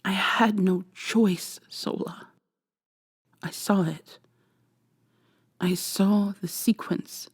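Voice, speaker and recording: A woman playing an earnest, regretful robot woman in an ASMR audio drama, speaking softly in a formal, measured way. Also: mid-range voice, fairly even intonation, husky, no room sound, clean studio sound. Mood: pleading, regretful.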